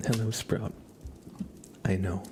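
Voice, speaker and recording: Slow, hushed man streaming ASMR. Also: sits low, flat delivery, noisy background. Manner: soft-spoken, often dropping into whispers. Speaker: ASMR livestreamer, host. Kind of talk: live ASMR stream, casual chat with viewers.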